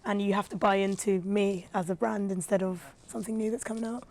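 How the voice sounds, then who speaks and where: A woman, a rapper and radio presenter, talking casually as a guest with a slightly raspy, tired voice and lots of fillers. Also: mid-range voice, fairly even intonation, brisk, a little mellow, a little hiss or hum. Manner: chatty, thoughtful, with frequent fillers. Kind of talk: casual podcast conversation.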